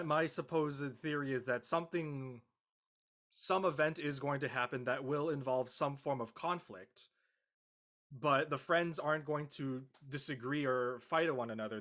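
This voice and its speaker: A man speaking off the cuff as an actor on a panel, deliberate and halting, often repeating words as he searches for phrasing. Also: mid-range voice, a bit bright. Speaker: actor on the show, as a guest panelist. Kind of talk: unscripted discussion to an audience.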